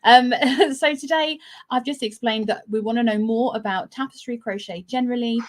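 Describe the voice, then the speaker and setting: British woman host, chatty and gushing, slightly high voice, live video call. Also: moderate pace. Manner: chatty and effusive, with fillers and laughs. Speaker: host of a live crochet show. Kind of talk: casual live-stream chat.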